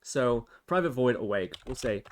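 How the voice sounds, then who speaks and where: A man's voice: medium pitch, animated, normal speed, a little hiss or hum. A man hosting a casual screencast programming tutorial, thinking aloud as he explains each step informally.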